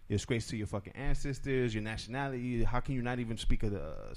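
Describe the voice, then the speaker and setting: A man's voice: medium pitch, expressive, fast talker, darkish tone. A man co-hosting a podcast in casual, joking chat, swearing offhandedly.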